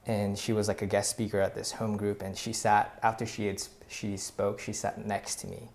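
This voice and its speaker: Guest speaker: a Tagalog-native man with an earnest, halting delivery and a gravelly voice. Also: on the low side, little pitch movement. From Philippines. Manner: earnest, many fillers and restarts. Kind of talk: casual faith conversation, personal story.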